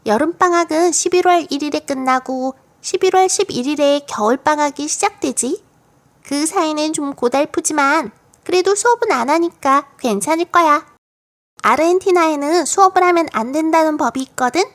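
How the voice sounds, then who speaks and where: Storybook little girl, played by a woman: high voice, cheeky, confident, matter-of-fact about the wildest tall tales. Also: moderate pace, natural voice. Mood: playful boasting. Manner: matter-of-fact, playful tall-tale telling. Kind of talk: children's storybook audiobook acting.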